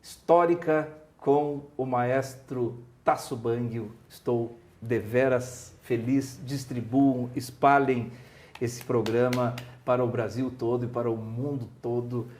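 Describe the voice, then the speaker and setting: A man's voice: medium pitch, steady tone, slow, darkish tone. A man from southern Brazil hosting a conversational interview, earnestly rallying his listeners to support their country's culture. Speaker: interviewer, show host.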